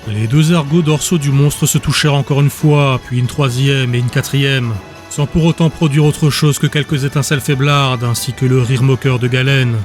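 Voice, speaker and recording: A man narrating a dark fantasy audiobook in a steady, measured storytelling voice. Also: medium pitch, faint music behind. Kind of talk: scripted narration.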